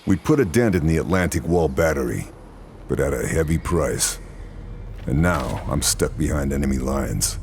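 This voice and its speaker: An actor playing a grim, resolute Allied sniper in a war video game, terse and hard-bitten. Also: male voice, rumbling low, vocal fry, a little mellow, a little hiss or hum, exaggerated voice. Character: grim, resolute male Allied sniper operative, stealthy and determined. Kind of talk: video game acting, cutscenes and mission narration. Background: music.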